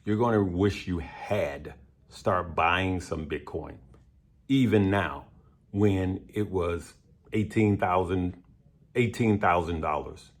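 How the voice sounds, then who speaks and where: A hoarse man, his voice almost gone, hosting his own investing channel and talking viewers through his advice in a casual, emphatic way, often checking in with "okay?" and "right?". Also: low-pitched. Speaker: stock and crypto channel host. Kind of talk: casual direct-to-camera advice.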